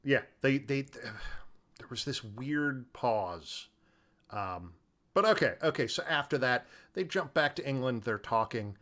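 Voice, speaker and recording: Casual male podcast co-host, halting and tangential. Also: medium pitch, lively intonation, fairly bright tone. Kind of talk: casual film discussion.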